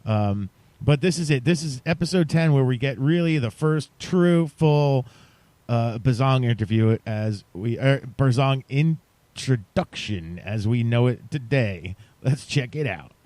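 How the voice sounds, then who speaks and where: A man's voice: medium pitch, lively intonation, unhurried, darkish tone, no echo. Male podcast host, mid-range voice, casual and chatty with playful emphasis, dry studio-close sound. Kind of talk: casual podcast chat addressed to listeners.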